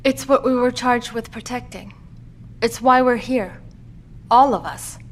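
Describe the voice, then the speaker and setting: A woman acting in an audio drama as a calm, resolute guardian of a secret. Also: on the high side, measured pace. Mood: solemn, resolute.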